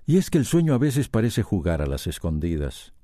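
An actor as a calm, reflective storyteller narrating a scripted radio soap opera. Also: male voice, fairly low pitch, fairly quick, dry recording, studio quality.

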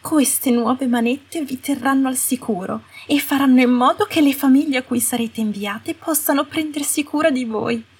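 A woman's voice: sits high, normal speed, hardly any pauses, husky, voice pushed up, heavily acted. A woman voicing a sugary, cruel female official in an audiobook, coaxing and condescending, with falsely sweet delivery. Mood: smugly sweet. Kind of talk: audiobook character voice. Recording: roomy echo, distant from the mic.

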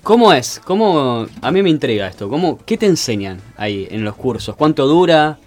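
A man's voice: on the high side, sing-song, moderate pace, soft background music. A man in his thirties with a Rioplatense Argentine accent, hosting a radio chat and firing off teasing questions. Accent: Rioplatense Spanish. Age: 30s. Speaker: radio host.

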